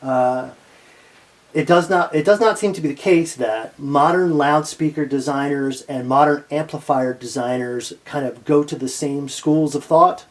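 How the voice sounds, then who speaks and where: Male interviewer, slightly raised pitch. Informal, wandering conversational delivery with a joking streak. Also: measured pace.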